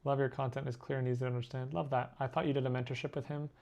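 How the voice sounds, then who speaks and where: This is a young man from Korea talking easily to his stream audience as he sketches. His voice sits at an ordinary middle pitch, and his chatter is loose and self-deprecating, with frequent laughs. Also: little pitch movement, very fast, creaky, a little mellow. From born in Korea, raised abroad. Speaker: artist live-streaming. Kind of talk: casual live-stream chat reading comments.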